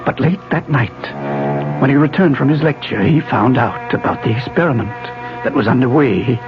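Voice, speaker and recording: An actor playing an obsessive, secretive scientist husband in a 1970s radio drama, speaking in a deliberate, controlled, persuasive way. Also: male voice, mid-range voice, sing-song, lightly acted. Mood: secretive, brooding. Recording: muffled 1970s radio broadcast, music behind.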